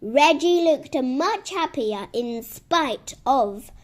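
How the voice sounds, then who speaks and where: Female children's story narrator: high voice, very slow, deliberate, expressive reading.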